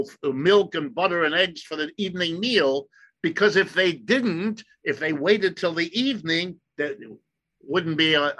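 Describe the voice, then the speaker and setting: A man from the United States whose first language was German, giving an emphatic explanatory talk and slowing down to stress key points. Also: on the high side, pushed and bright. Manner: emphatic, lecturing, slows for stress.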